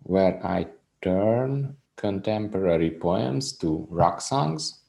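A man from Hungary whose first language is Hungarian, talking as a guest musician in a casual video call interview, soft-spoken and modest. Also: deep voice, animated, slow pace, vocal fry.

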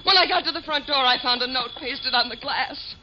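A woman's voice: high voice, brisk, natural voice. An actress playing a frightened, indignant woman with shattered nerves, agitated and stammering, in 1950s radio drama style. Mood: panicked. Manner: agitated, repeats herself and stammers. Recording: muffled, hissy 1950s radio recording.

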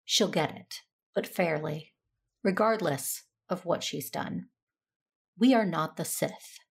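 A composed, principled female space knight , voiced by an actress, speaking formally and with authority. Her voice is lowish with a steady fry, in the manner of a sci-fi radio play. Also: slow pace, exaggerated voice. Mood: stern, composed. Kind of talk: scripted audio drama dialogue.

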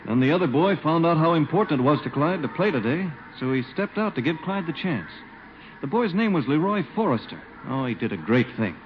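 A friendly, earnest American spectator in old radio comedy. He explains eagerly in a fast, fairly high voice. The recording is muffled. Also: big pitch swings, a little hiss or hum. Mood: earnest, moved. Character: earnest, friendly man watching a school football game. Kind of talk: 1950s radio comedy acting.

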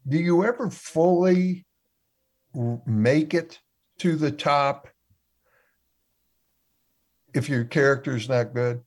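A man's voice: on the high side, slow pace, long pauses. A man co-hosting a casual sports talk panel, who poses long, probing questions to the others.

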